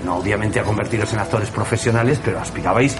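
A man's voice: fairly low pitch, quick pace, over music. A man with an accent from Spain, hosting a radio show and interviewing guests in a casual, conversational style.

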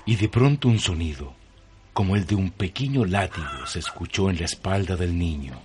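A man's voice: low-pitched, expressive, moderate pace, background noise. A man narrating a scripted radio drama with a Chilean accent. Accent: Chilean Spanish. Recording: music bed behind the voice.

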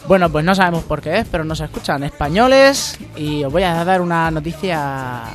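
Male comic radio host, Spain Spanish, slightly high pitch, colloquial banter over light music. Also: moderate pace. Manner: jokey, colloquial. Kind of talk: comic banter, mock news. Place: radio studio.

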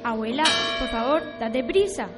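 A woman's voice: a little high, animated, moderate pace, very noisy, lightly acted. A female performer with an accent from Spain playing an impatient, curious young granddaughter in an amateur radio play. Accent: Spanish, from Spain.

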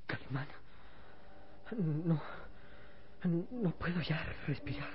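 A man's voice: fairly high pitch, sing-song, slow pace, muffled recording, noisy background. An actor playing a frightened, suffocating young boy in an old radio serial, gasping for breath. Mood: weak, fearful.

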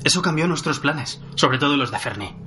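Radio drama survivor, male actor: tense recounting, forceful edge, faint noise underneath. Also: fairly high pitch, lively intonation, a little fast. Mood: grave recounting.

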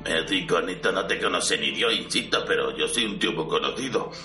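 In a roomy recording with faint music, a swaggering fallen conqueror , played by a man, demands answers in an audio parody. His voice is low and sharp, with a Spain Spanish accent. He is blunt, irritable and foul-mouthed. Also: expressive, a little fast. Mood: indignant, boastful. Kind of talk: amateur parody audio drama. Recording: roomy, distant mic, music underneath.

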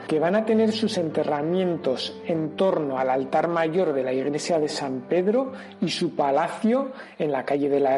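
Higher-pitched, mellow Spanish man explaining. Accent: Spanish from Spain. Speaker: local heritage presenter. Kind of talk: explanatory radio talk on history.

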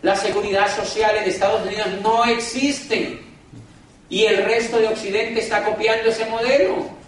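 A man giving a live business seminar, emphatic and preachy, often turning to the crowd directly and slowing down for dramatic emphasis. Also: little pitch movement. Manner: emphatic, preachy, keeps addressing the crowd as "gentlemen"; dramatic slowdowns and pitch swings for emphasis. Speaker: business motivational speaker. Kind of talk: live seminar talk to an audience. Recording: echoey hall from far off, faint noise.

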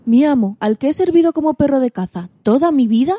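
A woman's voice: sits high, lively intonation, brisk, warm tone, no room sound. A woman playing a miserable, plaintive old hunting dog in amateur radio theatre. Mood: mournful, lamenting.

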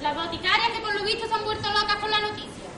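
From a distance in an echoey hall, a woman acts a critical, astonished stage relative. Her exclamatory voice is extremely high and quick. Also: steady tone, noisy background. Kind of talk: amateur stage comedy acting.

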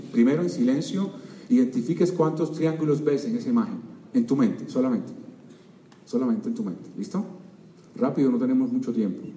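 An older man with a Cuban accent giving an energetic motivational seminar talk, constantly quizzing his audience. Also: mid-range voice, a little hiss or hum. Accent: Cuban Spanish. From Cuba. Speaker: seminar speaker. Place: large auditorium. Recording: echoey hall, distant mic, faint crowd.

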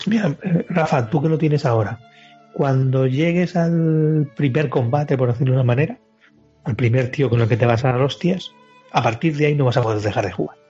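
Male co-host, Spain accent, very husky, informal and sweary, slightly dull recording. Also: medium pitch, a little fast, mellow. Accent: Spanish (Spain). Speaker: podcast co-host. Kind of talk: casual video game chat. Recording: muffled lo-fi podcast, music underneath.

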